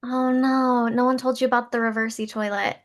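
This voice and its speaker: An actress playing an eager, earnest young schoolteacher in a comedy table read, perky and animated. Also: female voice, on the high side, a little fast, lightly acted. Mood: helpful.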